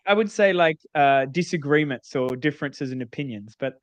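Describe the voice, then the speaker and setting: A man raised in France, a company CEO, chatting as a guest; relaxed and easygoing, and he sounds posh. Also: a little high, normal speed, dry recording. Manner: relaxed, laughs easily, says he sounds posh. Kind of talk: casual chat.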